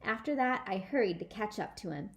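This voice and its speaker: A woman storyteller reading a children's book aloud in an animated, emphatic way. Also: mid-range voice, brisk. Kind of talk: expressive children's book read-aloud in a child narrator's words.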